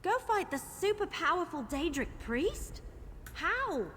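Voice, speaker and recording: A woman's voice: extremely high pitch, big pitch swings, takes it slowly, a bit bright, full character voice. An actress playing a plucky, candid young female elf adventurer in a fantasy video game. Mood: spirited. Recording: long hall reverb, faint noise.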